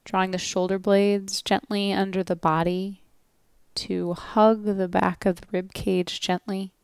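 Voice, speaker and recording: A woman leading a guided relaxation as a yoga nidra instructor, speaking gently and soothingly with long pauses. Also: mid-range voice, level tone, creaky, smooth, no echo, studio quality.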